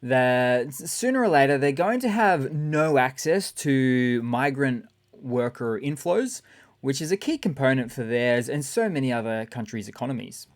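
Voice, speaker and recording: A man with a raspy voice hosting a casual, sarcastic news commentary. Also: medium pitch, expressive, moderate pace. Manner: sarcastic, chatty. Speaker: channel host.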